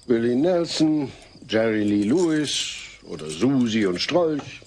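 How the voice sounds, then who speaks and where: A man's voice: medium pitch, sing-song, takes it slowly, a little mellow. An actor playing a sensible, long-suffering suburban family man in a dubbed sitcom, dry and skeptical. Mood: exasperated. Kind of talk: dubbed sitcom acting in an audio drama. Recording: hissy old cassette recording.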